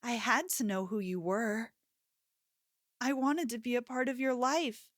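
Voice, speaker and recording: A woman's voice: a little high, a little slow, dry recording, clean studio sound, lightly acted. A woman playing an obsessive, possessive young yandere in an ASMR roleplay, speaking intimately and coaxingly, like a lover with menace underneath. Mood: confessional.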